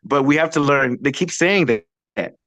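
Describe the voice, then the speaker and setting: A man from Nigeria, hosting a political discussion, talking animatedly in quick, persuasive bursts. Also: mid-range voice, expressive, fairly bright tone, no room sound. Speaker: host.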